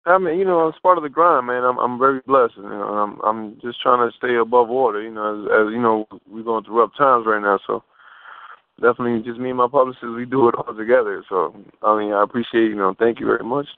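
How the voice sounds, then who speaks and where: A man's voice: mid-range voice, steady tone, quick pace, no room sound. A man giving a casual interview as a guest over the phone, talking informally with slang and rephrasing himself as he goes. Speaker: interview guest, fashion model. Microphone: telephone. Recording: muffled narrow phone line.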